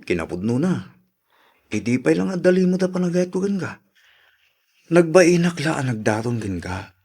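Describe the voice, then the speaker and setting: A man with a Filipino accent playing an earnest suitor in a radio drama, speaking gently and pleadingly. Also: a little high, very animated, moderate pace, husky, heavily acted. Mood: tender, confessing. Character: earnest, soft-spoken male suitor courting an old love. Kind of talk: Ilocano radio drama acting.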